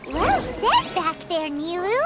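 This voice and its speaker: A woman's voice: very high-pitched, huge pitch swings, slow, loud music behind the voice, exaggerated voice. A woman voicing a spirited, righteous, childlike supporter full of enthusiasm in a video game. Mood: admiring. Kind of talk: voiced game dialogue.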